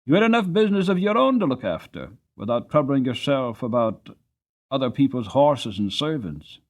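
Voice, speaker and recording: A man voicing an amused gentleman in an audiobook, teasing his friend in a laughing manner. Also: medium pitch, sing-song, normal speed. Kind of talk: dramatic audiobook character reading.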